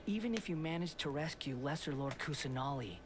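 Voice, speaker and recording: A man's voice: a little high, expressive, fairly quick, background noise, natural voice. Man voicing a smug whispering god. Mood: taunting. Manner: taunting, condescending. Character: smug, mocking young male puppet god.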